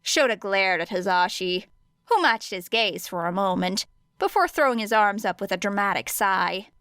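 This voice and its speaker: A woman's voice: mid-range voice, expressive, normal speed, a bit bright, clean tone, no echo, studio quality. A woman reading scripted fiction as an audiobook narrator.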